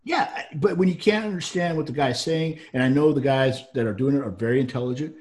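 A man with a faint Southern drawl talks in a loose, rambling way, often restarting his sentences. His voice sits at an ordinary middle pitch, and he chats casually as a co-host. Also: brisk. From Georgia, US. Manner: rambling, animated, frequent restarts. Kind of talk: casual chat.

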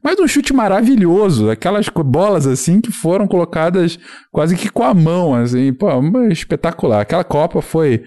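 A man in his thirties with a Carioca Brazilian accent, a podcast guest talking in a casual, animated and rambling way. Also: fairly high pitch, normal speed. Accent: Carioca Brazilian Portuguese. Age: 30s. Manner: animated, rambling storyteller with many restarts and fillers. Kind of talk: casual chat.

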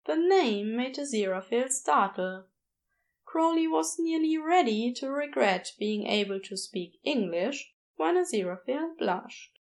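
Slow, high-voiced woman narrating. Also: lively intonation. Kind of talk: scripted story narration.

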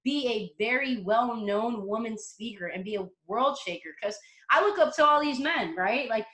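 A woman with a fairly high voice talks brashly and confidently, swearing freely as she tells her story in a casual interview. Her voice rings in an echoey room. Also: lively intonation, moderate pace, bright, forceful tone. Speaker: interview guest, motivational coach.

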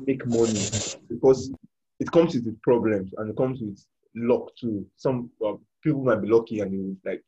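A man's voice: mid-range voice, warm tone, breathy edge. A man, co-hosting a podcast in a casual conversational style. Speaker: podcast co-host. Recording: dull lo-fi audio.

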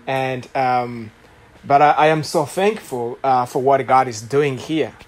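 Rwandan-accented man preaching with halting pauses. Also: medium pitch. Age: late 30s. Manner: halting, many fillers and restarts. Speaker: guest preacher. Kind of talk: sermon testimony.

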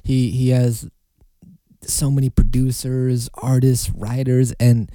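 A man's voice: mid-range voice, flat delivery, slow, dark tone, no room sound. A young man hosting a casual solo podcast, talking in a loose, rambling way and swearing freely. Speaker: podcast host.

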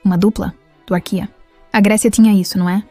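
A woman's voice: medium pitch, fairly even intonation, brisk, mellow, no echo, faint music behind, lightly acted. A woman with a Brazilian accent playing a loyal, conspiratorial teenage campaign ally in audio drama, explaining and suggesting. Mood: persuasive.

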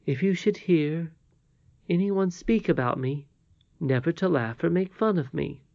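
A kindly, withered old woman from a storybook, acted out by a male reader, pitched high and soft in manner. She admonishes gently but firmly, with an air of mystery. The recording sounds a little dull. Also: a little slow, gravelly, dry recording. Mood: cautioning. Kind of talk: storybook character reading.

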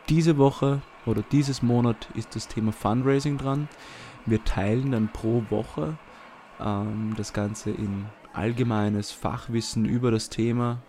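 A man's voice: mid-range voice, warm tone, a little hiss or hum. A man from Vienna with a Viennese accent, talking casually as a podcast co-host. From Vienna, Austria.

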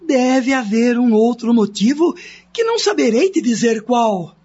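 A woman playing a calm, honest plastic surgeon in a radio drama, husky, soothing and frank. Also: a little high, a little slow, muffled recording.

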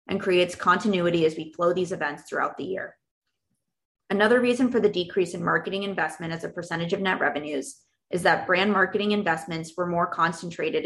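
A woman presenting company financial results as an executive, reading prepared remarks in a formal, measured way. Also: on the low side, creaky, a bit bright. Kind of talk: scripted earnings call presentation.

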